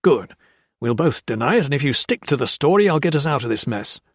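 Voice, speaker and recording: A man's voice: medium pitch, animated, fairly quick, no room sound, clean studio sound, natural voice. A man voicing a crooked army colonel who commands, blusters and threatens. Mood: bullying. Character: bullying male army colonel, menacing and crooked.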